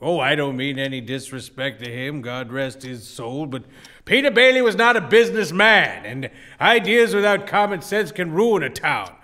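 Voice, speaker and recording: An actor playing a mean, pompous old banker in a radio play, scornful and forceful. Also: male voice, fairly high pitch, expressive, moderate pace. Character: mean, haughty old male banker and businessman. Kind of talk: theatrical radio play acting.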